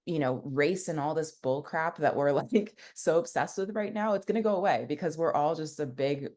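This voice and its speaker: A woman's voice: low voice, normal speed, darkish tone. A young woman, a founder, telling her story in a casual interview, rambling with many fillers. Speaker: founder and guest.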